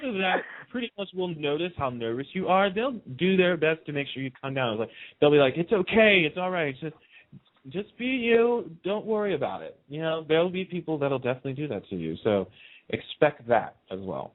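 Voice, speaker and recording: A man's voice: a little high, very animated, fairly quick. A man chatting casually and enthusiastically in an interview, telling anecdotes and acting out the dialogue he quotes. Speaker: radio host being interviewed as a guest. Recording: band-limited, lo-fi phone-in radio sound.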